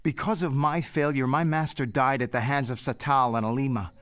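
An actor playing a headstrong young Jedi knight, earnest and intense, in an audio drama. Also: male voice, medium pitch, steady tone, a little fast, fairly bright tone, natural voice. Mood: insistent.

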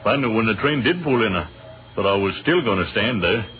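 Actor as modest American captain, tinny vintage radio. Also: male voice, fairly low pitch, lively intonation, quick pace, a little hiss or hum, natural voice. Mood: relieved, grateful. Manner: modest, polite, plain-spoken. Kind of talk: old-time radio drama acting. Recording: muffled, narrow-band old radio recording.

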